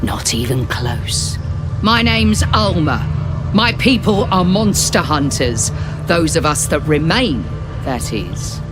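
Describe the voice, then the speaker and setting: An actress voicing a stern, grieving female monster hunter leader in a video game, speaking in a measured, accusing way. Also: low-pitched, sing-song, music in the background, exaggerated voice.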